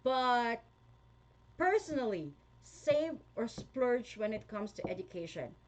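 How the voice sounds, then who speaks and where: A woman's voice: fairly high pitch, very animated, takes it slowly, low-quality recording. A young woman with a Filipino accent, chatting casually to her viewers, with frequent laughs and fillers. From Philippines. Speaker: vlogger. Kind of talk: casual talk to viewers, reading comments.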